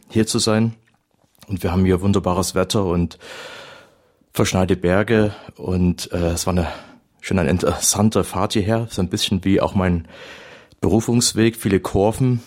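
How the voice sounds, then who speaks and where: A middle-aged man with a broad Saxon accent, a priest talking in a radio studio about his own life. His voice sits very low, and he tells his story in a lively way, with plenty of fillers and self-corrections. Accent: Saxon German. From Saxony, Germany. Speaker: priest giving a talk. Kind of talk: radio talk, personal testimony.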